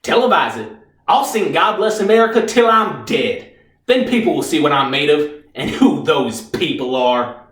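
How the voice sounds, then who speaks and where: A man performing as a bitter young army private in a stage drama. His voice rides high and swings widely, cocky and agitated. It rings in a very echoey room, some way from the microphone. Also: sing-song, moderate pace, fairly bright tone, recorded from a distance. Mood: defiant.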